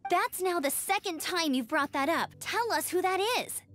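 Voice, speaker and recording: A woman's voice: very high-pitched, normal speed, fairly bright tone, faint music behind. A woman voicing a dutiful, assertive young knight in a video game, articulate and questioning. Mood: firm. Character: energetic, dutiful young female knight, firm, suspicious.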